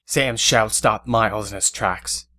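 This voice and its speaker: A man's voice: on the low side, unhurried, bright, forceful tone. A man giving scripted third-person narration for an audio drama. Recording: faint music under narration. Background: faint music.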